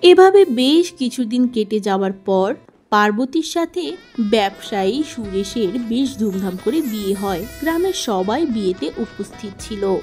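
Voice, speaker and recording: A woman's voice: on the high side, lively intonation, a little slow, a little mellow, faint music behind. A woman narrating a scripted storybook tale.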